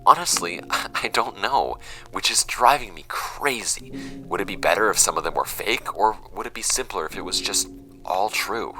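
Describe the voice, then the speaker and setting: A man's voice: low voice, expressive, music in the background, poor audio. A man acting a troubled young man in an audio drama, giving a hesitant, introspective confessional monologue. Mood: unsettled. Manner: hesitant, introspective, halting phrasing. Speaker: audio drama actor in the lead role.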